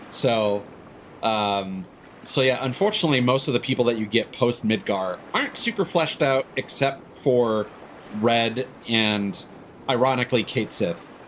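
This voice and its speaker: A man's voice: mid-range voice, animated, unhurried, a bit bright. Man chatting on a noisy game stream. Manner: rambling, opinionated explaining. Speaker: game livestream host.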